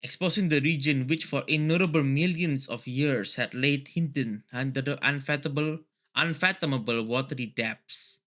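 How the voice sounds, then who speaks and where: A man hosting a read-along, moving between reading a story aloud and excitable, casual commentary full of tangents. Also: medium pitch, moderate pace. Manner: excitable, often laughing and digressing.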